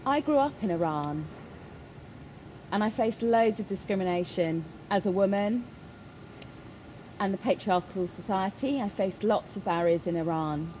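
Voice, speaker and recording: Over clear background noise, a woman gives a live spoken interpretation of a personal statement. Her voice sits at a medium pitch, and she delivers it phrase by phrase, with halting pauses. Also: animated, darkish tone, poor audio. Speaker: interpreter voicing a Deaf signer.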